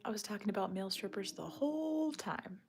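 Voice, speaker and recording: A woman hosting a casual morning livestream from home, talking in a hushed half-whisper while others sleep, rambling and joking as she reads the chat. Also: medium pitch, animated, a little fast, gravelly. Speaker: livestream host reading chat. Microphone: phone or camera on a tripod.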